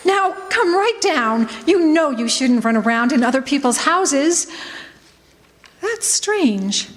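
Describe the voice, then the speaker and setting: A woman playing a caring, sensible mother in a stage radio drama, earnest and expressive. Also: high-pitched, takes it slowly, a little hiss or hum, exaggerated voice. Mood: bewildered. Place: college campus stage performance in a hall. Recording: echoey hall.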